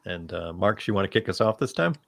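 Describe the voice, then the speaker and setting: A man's voice: low-pitched, lively intonation, a little fast, creaky, fairly bright tone. A man hosting a casual panel discussion, speaking conversationally. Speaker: panel host.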